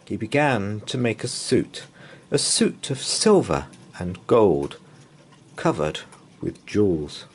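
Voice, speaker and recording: Slow, expressive low-voiced man reading a story. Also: slightly rough. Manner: expressive, dramatic storytelling with drawn-out pauses. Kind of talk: read-aloud children's bedtime story. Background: open fire ambience.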